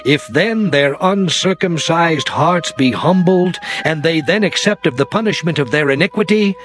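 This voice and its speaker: Over clear background music, a man acts out a stern God in a scripture drama. The voice sits a little high and declaims solemnly, in grave archaic phrases. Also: lively intonation, normal speed, fairly bright tone. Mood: solemn, resolute. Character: God, stern male deity pronouncing curses and covenant. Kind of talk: dramatized King James scripture reading. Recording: music behind the voice.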